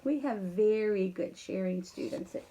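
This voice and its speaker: A woman reading a children's story aloud in an expressive, encouraging way, talking directly to young listeners with casual asides. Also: medium pitch, normal speed, slight background noise, poor audio. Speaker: teacher reading a bedtime story to pupils.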